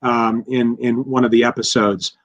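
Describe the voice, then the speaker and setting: A man in a casual analytical discussion, speaking haltingly and repeating words as he thinks aloud. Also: mid-range voice, little pitch movement, dry recording. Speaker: guest expert.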